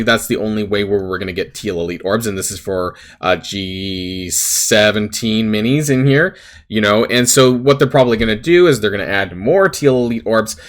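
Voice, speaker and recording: A man hosting a gaming channel, rambling through casual commentary with lots of fillers and self-corrections, his voice slightly raspy. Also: mid-range voice.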